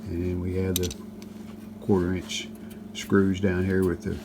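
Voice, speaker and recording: A man with a fairly low, gravelly voice walks through a repair job step by step. He talks casually and plainly, pausing mid-sentence, over some background noise. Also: completely flat delivery. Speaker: host of a restoration how-to video. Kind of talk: casual hands-on demonstration narration.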